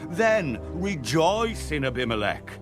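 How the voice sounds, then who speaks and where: An actor playing an indignant, solemn man pronouncing a curse in a dramatized audio Bible, declamatory and deliberate. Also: fairly high pitch, big pitch swings, over loud music. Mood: solemn indignation.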